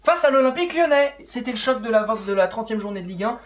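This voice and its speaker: A man's voice: very high voice, lively intonation, fast talker. A young man, chatting informally as the host of a football show. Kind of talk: casual unedited football chat.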